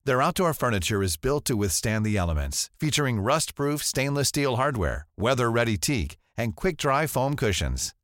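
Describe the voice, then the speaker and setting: A man gives a scripted ad read at a middle pitch. His intonation is lively and varied, recorded very dry. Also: normal speed, hardly any pauses, no room sound. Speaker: advertisement voice-over. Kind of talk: scripted ad narration.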